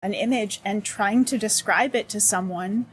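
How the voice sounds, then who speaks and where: A woman's voice: mid-range voice, normal speed, slight background noise, rough recording. A woman talking as a guest in a casual interview, thoughtful and searching for her words. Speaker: accessibility consultant, guest.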